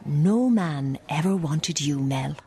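A woman's voice: low voice, animated, slow pace, warm tone, heavily acted. An actress with an Irish accent playing an embittered middle-aged mother in a radio play, cutting and confrontational. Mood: resentful.

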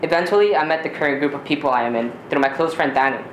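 A man's voice: a little high, racing, a bit bright. Teen guy from ecuador, native spanish speaker, reading his story live on a small theater stage, echoey with a bit of noise. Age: teenager. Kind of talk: reading a personal story aloud. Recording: roomy live stage recording, faint noise.

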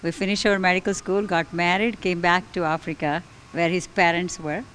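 A woman from Gujarat, India, a physician, answering calmly and conversationally with small hesitations into a handheld mic outdoors. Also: lowish voice, normal speed, slight background noise, low-quality recording. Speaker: interview guest, physician. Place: outdoors on the porch of a large unfinished house. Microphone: handheld interviewer mic.

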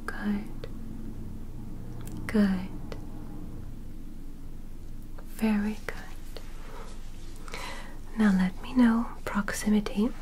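A woman voicing a gentle, curious alien medical examiner in an ASMR roleplay, in a soft, breathy whisper with deliberate short instructions. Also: medium pitch, slight background noise, rough recording. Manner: slow, deliberate, short instructions with simple praise. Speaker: ASMR performer playing an examiner.

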